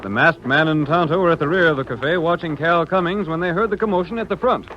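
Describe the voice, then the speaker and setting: A man's voice: fairly high pitch, fast talker, slight background noise, natural voice. A man acting as the grand, dramatic narrator of a Western adventure serial in 1930s announcer style. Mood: storytelling. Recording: dull old radio, music behind.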